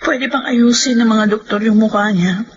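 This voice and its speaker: Isang babaeng gumaganap sa dramang panradyo bilang balisa at mapagmahal na asawa't ina, nagsusumamo at sinisisi ang sarili. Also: female voice, on the high side, normal speed, slight background noise, natural voice. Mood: dazed, helpless. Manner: pleading, tearful. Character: distraught, devoted, self-blaming wife and mother. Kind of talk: Filipino radio drama acting. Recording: dull lo-fi radio drama sound. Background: prominent dramatic music.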